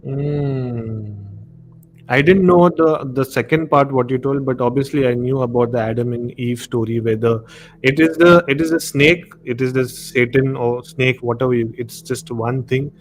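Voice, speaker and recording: A man with an Indian accent hosts a casual live discussion show. His voice sits at an ordinary middle pitch. The recording carries audible noise. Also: steady tone, normal speed. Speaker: podcast host. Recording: clearly noisy live-stream audio.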